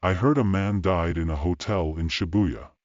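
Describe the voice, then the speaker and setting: Man acting a deep-voiced, calm male bodyguard. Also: normal speed, dry recording, lo-fi sound, lightly acted. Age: 40s. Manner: terse, calm, understated. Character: powerfully built forty-year-old bodyguard, calm, cool, gentle. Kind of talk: audiobook drama acting.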